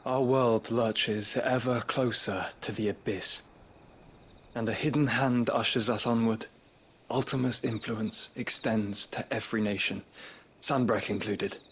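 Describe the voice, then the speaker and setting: Game role: ailing male duke, philosophical and weary, slow measured delivery, medium pitch. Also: fairly even intonation, fairly bright tone, breathy edge. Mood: grave. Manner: formal, measured, eloquent. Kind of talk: dramatic video game cutscene acting.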